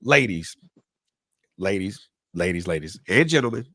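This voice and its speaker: A man's voice: deep voice, animated, unhurried, pushed and bright, dry recording, clean studio sound. Emphatic man repeating himself for effect. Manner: emphatic, preacherly repetition of phrases. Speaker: panel guest. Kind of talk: panel discussion.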